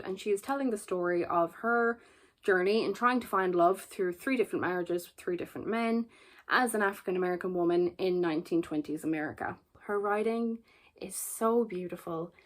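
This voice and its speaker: A woman's voice: mid-range voice, a little fast. A young Irish woman in her twenties talks casually to camera about books she is reading. She is earnest and chatty, leans on repeated words for emphasis, and laughs easily between thoughts. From Ireland. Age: 20s. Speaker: book vlogger. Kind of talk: casual book chat to camera.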